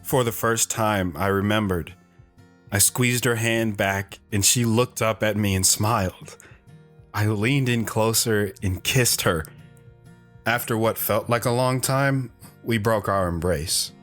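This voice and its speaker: A man playing a shy, hesitant wanderer who narrates his own story in an audio drama, reflective in tone, with soft guitar underneath. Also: on the low side, slow. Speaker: narrator and guest.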